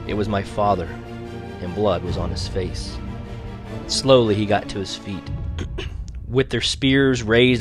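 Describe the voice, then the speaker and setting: Low-voiced man reading a story aloud. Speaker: audiobook reader. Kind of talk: read-aloud story narration.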